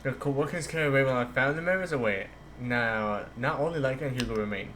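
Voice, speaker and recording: A man gives casual commentary on a game he is streaming. His mid-pitched voice is bright and quick, and there is faint noise in the background. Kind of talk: casual playthrough commentary, reading game text aloud.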